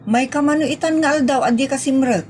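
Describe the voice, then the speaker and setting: An actress plays a nagging woman with an Ilocano accent. Her voice is fairly high, with the scolding, put-upon delivery of comedic audio drama. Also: fast talker, a little mellow, a little hiss or hum, natural voice. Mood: reproachful. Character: nagging, long-suffering woman scolding about drink.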